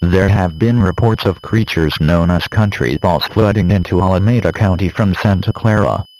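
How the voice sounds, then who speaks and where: A man's voice: sits low, normal speed, darkish tone, poor audio. A man reading a scripted emergency alert as an announcer, in a formal, impersonal, synthetic delivery. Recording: faint hum and noise.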